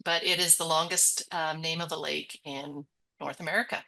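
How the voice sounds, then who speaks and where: A woman's voice: deep voice, steady tone, normal speed, gravelly, pushed and bright. A woman co-hosting a library webinar, walking through a website in a chatty, upbeat way with frequent giggles. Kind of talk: casual screen-share demo chat.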